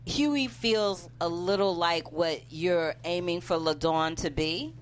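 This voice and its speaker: A woman's voice: on the low side, takes it slowly. A woman in a casual panel discussion who asks questions in a direct, probing way. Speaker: panelist on a script table-read show. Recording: faint background noise with hum.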